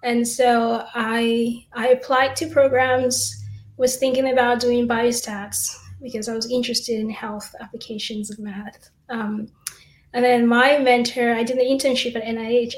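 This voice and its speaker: A woman's voice: a little high, moderate pace, echoey room, low-quality recording. A woman in her thirties from Cameroon, an academic explaining her research in an interview. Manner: explanatory, steady, with fillers. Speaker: assistant professor, guest. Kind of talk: interview answers.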